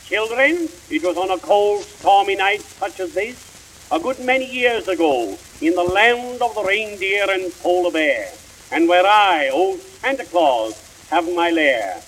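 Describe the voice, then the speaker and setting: A man voicing old Santa Claus, telling children a slow, grand, sing-song story on an old recording. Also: high voice, animated, a bit bright, a little hiss or hum, poor audio. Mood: storytelling. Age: older.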